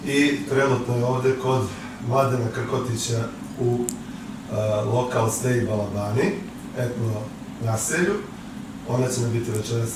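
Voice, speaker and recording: Distant echoey male podcast host. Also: medium pitch. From Montenegro. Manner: halting, many fillers, switches languages. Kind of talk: casual interview. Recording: echoey room from far off with noise.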